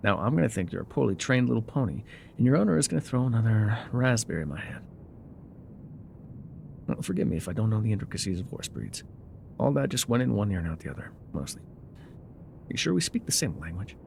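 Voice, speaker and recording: Man acting a gruff low-voiced loner guide. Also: sing-song, fairly quick, long pauses, a little mellow, a little hiss or hum. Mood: teasing, sarcastic. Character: gruff, wry loner man guiding a rider on foot. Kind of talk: ASMR roleplay voice acting.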